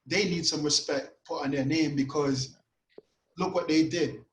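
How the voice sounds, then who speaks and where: Animated Toronto man on a roomy call. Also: on the high side, a little slow, slight background noise. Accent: Toronto, Caribbean-influenced. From Canada. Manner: animated storytelling, lots of "you know what". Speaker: podcast guest, grime artist. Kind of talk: casual chat over video call. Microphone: video call.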